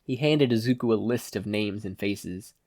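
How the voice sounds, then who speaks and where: A man's voice: fairly low pitch, moderate pace, a little mellow, no room sound, studio quality. A man giving a scripted narration of a fan fiction story as an audiobook reader. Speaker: podfic narrator.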